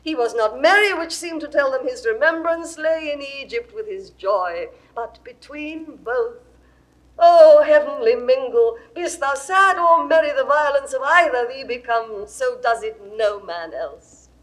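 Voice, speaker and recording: A high-pitched, regal Egyptian queen, lovestruck and volatile. She declaims classical verse with grand theatrical passion, as in a 1960s recorded stage drama. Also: expressive, unhurried, poor audio. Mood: rapturous. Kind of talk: 1960s classical Shakespeare audio drama acting.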